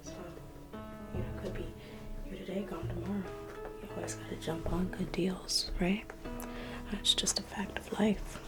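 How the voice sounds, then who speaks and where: A woman whispering softly and reassuringly as a private museum guide in an ASMR roleplay. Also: fairly low pitch, steady tone, normal speed, pauses often, music in the background. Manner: soft whispering, intimate and reassuring.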